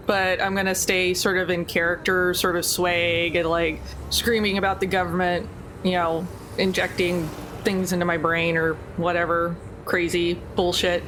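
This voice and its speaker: A woman playing a tabletop game, chatting casually and thinking out loud. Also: on the low side. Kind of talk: casual play talk. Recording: noisy background hiss.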